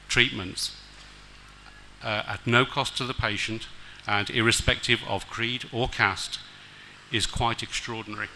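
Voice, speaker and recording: Formal man with bright tone. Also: on the low side, a little hiss or hum, poor audio. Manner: measured, formal, occasional hesitations. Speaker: diplomat guest speaker. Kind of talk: formal prepared speech read aloud. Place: decorated outdoor stage at a temple event. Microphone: stand mic at a podium.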